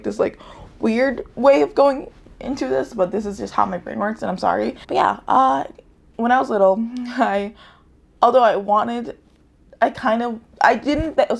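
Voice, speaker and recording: Young woman with a gravelly, rambling chat. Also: mid-range voice. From United States. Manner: rambling, self-correcting, laughs often. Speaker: vlogger. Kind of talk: casual vlog chat.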